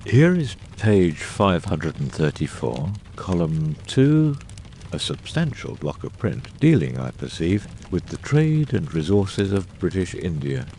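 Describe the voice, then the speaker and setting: A man playing a deep-voiced, theatrical gentleman detective. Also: sing-song, vocal fry, a little hiss or hum, heavily acted. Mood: wry, musing. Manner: precise, erudite, theatrical delivery with deliberate pauses. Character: brilliant, eccentric middle-aged male detective, exacting and theatrical. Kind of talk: dramatised audiobook reading.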